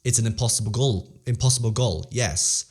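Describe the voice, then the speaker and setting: A man's voice: medium pitch, a little fast, breathy edge. A teenage boy, an interview guest, talking earnestly and motivationally in a casual way, often repeating phrases and restarting sentences. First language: Polish-English bilingual, English stronger. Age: teens.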